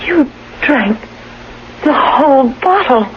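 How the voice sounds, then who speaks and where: A woman's voice: sits high, animated, slow pace. A woman playing a frail, frightened invalid wife, faint and plaintive, in a 1980s radio drama. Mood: distressed. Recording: dull radio recording, faint noise.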